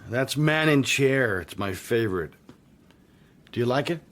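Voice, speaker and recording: An actor playing an urbane married man in a radio drama, a courteous host who talks casually and politely while quietly probing. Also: medium pitch, expressive, unhurried. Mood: hospitable, guarded. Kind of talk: naturalistic radio drama dialogue. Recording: clearly noisy background ambience.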